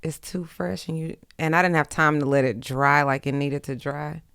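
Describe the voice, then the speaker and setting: A woman's voice: sits low, steady tone, moderate pace, fairly bright tone, clear, resonant tone, no room sound, clean studio sound. An American woman chatting casually as a podcast guest, blunt and slangy in the way she talks. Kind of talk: casual chat.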